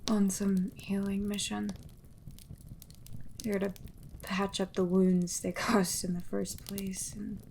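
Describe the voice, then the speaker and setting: Bitter, tired fantasy townswoman played by a woman: slow, monotone, gravel-edged delivery with sardonic bite, for audio drama. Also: mid-range voice, a little hiss or hum. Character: weary, bitter woman distrustful of the church.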